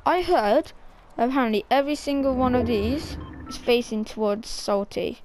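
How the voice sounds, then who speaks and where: A woman with a croaky, gravelly voice, coughing now and then, casually commenting on her own video game play. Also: a little high, moderate pace, noisy background. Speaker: gameplay streamer.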